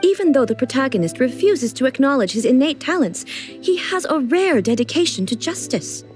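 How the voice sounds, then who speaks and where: A woman playing a dignified, earnest, sheltered ruler in a video game, speaking in a formal, composed, measured way. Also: sits high, sing-song, a little mellow, faint music behind. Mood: curious, intrigued. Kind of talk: video game character acting.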